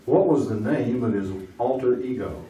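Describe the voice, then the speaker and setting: A man's voice: medium pitch, moderate pace, warm tone, far from the mic, a little hiss or hum, natural voice. A man playing a joke-cracking 1950s quiz show host, teasing the contestants with showman patter, in old-time radio stage acting. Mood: joking. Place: theater stage. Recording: roomy live theater recording from afar.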